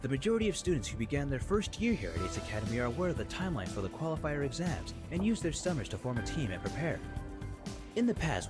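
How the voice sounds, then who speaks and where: A man's voice: medium pitch, lightly acted. A man voicing a composed, courteous male professor who lectures a class formally in a visual novel game.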